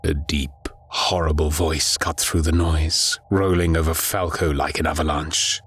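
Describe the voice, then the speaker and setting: A man narrating a scripted horror audiobook in a grave, measured storytelling manner. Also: a bass voice, level tone, a bit bright, slight background noise.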